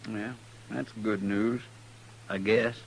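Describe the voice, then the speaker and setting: An actor playing a dutiful, folksy marshal's assistant with a rural American drawl in a radio western. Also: male voice, on the low side, steady tone, slow pace, creaky, slight background noise, low-quality recording. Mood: mild. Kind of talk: old-time radio western acting. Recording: vintage radio recording.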